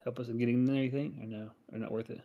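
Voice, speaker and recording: Man player thinking out loud, mid pitch, hesitant, lots of restarts. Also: flat delivery, fast talker, highs cut off. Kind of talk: planning aloud in a tabletop game.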